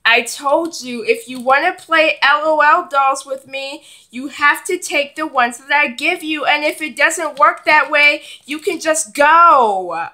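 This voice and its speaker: A woman's voice: sits high, a little slow, bright, forceful tone. A woman voicing a bossy, selfish older sister, a young girl, in a children's doll play skit. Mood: exasperated, firm. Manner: bossy, dismissive.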